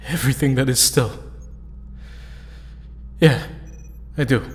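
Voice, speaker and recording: An actor playing a crushed, subdued teenage boy hero in an audio drama, halting and downcast. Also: mid-range voice, steady tone, close to an everyday voice. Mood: weary, grieving. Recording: reverberant hall sound.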